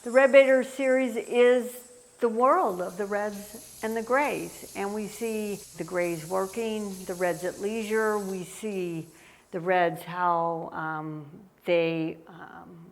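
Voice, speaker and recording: Slow-talking woman artist, gravelly tone. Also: mid-range voice. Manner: unhurried, with frequent ums and pauses. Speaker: artist giving a gallery tour. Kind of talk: casual explanatory walk-through. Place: art gallery, indoors.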